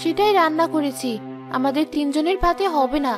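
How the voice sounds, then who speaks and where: A woman voicing a poor, self-sacrificing village mother in a cartoon, earnest and gentle, with music behind. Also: sits high, fairly quick, lightly acted. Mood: earnest, dutiful. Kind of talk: moral-story cartoon acting.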